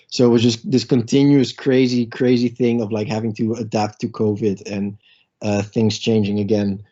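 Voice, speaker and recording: A man giving casual interview answers as a guest, halting and correcting himself as he goes. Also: on the low side, warm tone. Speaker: panel guest, pantomime board member. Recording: muffled lo-fi audio.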